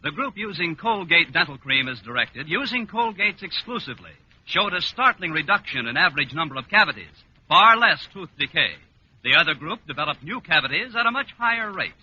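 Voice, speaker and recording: A man's voice: on the high side, very animated, a little fast, bright, forceful tone, highs cut off. An American man announcing a scripted mid-century radio advertisement with an emphatic sales delivery. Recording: noisy, band-limited old radio recording.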